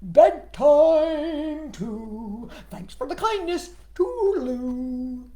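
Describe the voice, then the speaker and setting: A woman acts out a cheerful, pushy male frog from a storybook. He booms out drawn-out croaky exclamations that leap up and down, in an echoey room. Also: animated, warm tone, exaggerated voice. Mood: eager, breezy.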